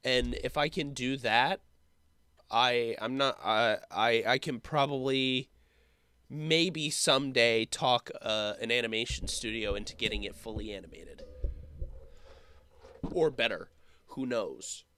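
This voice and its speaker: A young man who is sick with a sore throat, talking in a rambling, halting way full of fillers for his casual vlog update. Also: mid-range voice, bright, forceful tone, a little hiss or hum. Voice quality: slightly rough, hoarse voice. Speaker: YouTuber.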